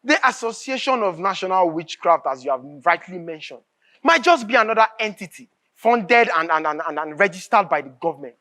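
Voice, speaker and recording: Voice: medium pitch, very animated, brisk, sharp tone. Actor doing a bitter, anguished nigerian writer on stage, emphatic and repetitive, voice swinging all over the place. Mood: scornful, fearful. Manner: declamatory, emphatic, repeats words and phrases. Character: anguished, bitter writer raging at a corrupt nation. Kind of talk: live stage drama acting.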